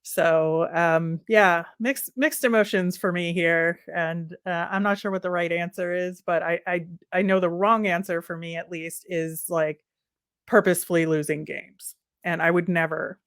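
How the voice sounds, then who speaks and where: A woman with a slightly low voice hosts a casual sports podcast. She speaks conversationally and hedges with asides as she thinks through her points. The sound is very dry, with no room around it. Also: steady tone, a little slow, clear, resonant tone, no room sound.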